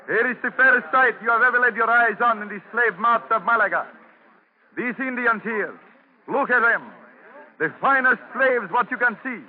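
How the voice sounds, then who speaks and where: An actor playing a boastful slave auctioneer declaiming a sales pitch, in 1940s radio style. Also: male voice. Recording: tinny, hissy 1940s radio recording.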